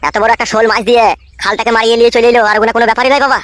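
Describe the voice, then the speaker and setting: Cartoon casting: aggrieved, foul-mouthed young husband played by a woman, very high pitch, rapid-fire rants. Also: flat delivery, no room sound, natural voice. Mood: indignant. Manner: ranting, scolding, coarse village speech. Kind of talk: comic cartoon dialogue.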